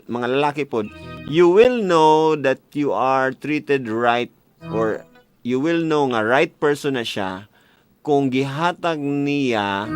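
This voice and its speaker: A man with a Cebuano Filipino accent hosting a casual call-in radio show about love, talking in a playful, storytelling way. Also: mid-range voice. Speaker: radio host. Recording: radio broadcast over background music. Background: music.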